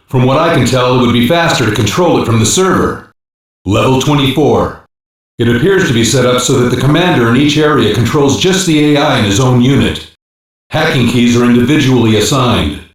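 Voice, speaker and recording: Male actor as cyber-police analyst, matter-of-fact briefings, mid pitch, heavy echo, sci-fi game. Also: natural voice. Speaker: intelligence operative. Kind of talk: English animated sci-fi game voice acting. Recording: very echoey, distant-sounding game audio.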